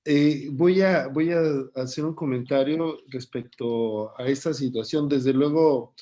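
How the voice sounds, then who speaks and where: A man who hosts and moderates an online lecture, speaking in a measured, deliberate way. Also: mid-range voice, mellow. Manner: measured, deliberate, pauses with fillers. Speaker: host and moderator.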